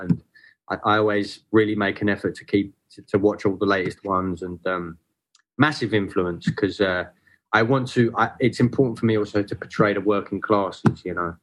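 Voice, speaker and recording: A man's voice: on the low side, fairly bright tone, low-quality recording. A man talking as a photographer guest in a casual podcast chat, hesitant with many fillers and restarts.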